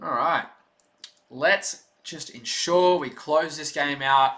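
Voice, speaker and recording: A young man streaming his own play-by-play as a fan, chatty and excited, with a voice going hoarse. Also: on the high side, takes it slowly. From Australia. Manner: excited rooting fan, loud on big plays, chatty in lulls. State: losing his voice. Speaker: stream host.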